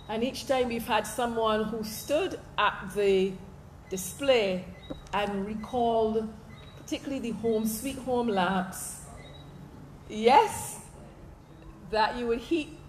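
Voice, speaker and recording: Barbadian woman, lecturer, slightly high voice, roomy hall, faint hum behind. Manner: animated, slips into local dialect for quotes. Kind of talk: public lecture. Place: large hall stage. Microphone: stand mic, filmed from the audience. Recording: echoey hall, faint ambience.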